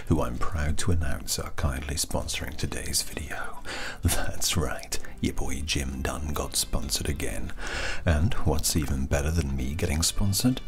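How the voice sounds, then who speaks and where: A British man reading a playful, scripted sponsor message in a soft-spoken way as an ASMR video creator. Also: extremely low pitch.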